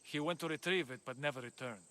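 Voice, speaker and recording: A man's voice: fairly high pitch, fast talker, bright, forceful tone. A man playing a courteous, worried scholar of ancient Greece in a video game, speaking politely and earnestly. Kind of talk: video game cutscene acting.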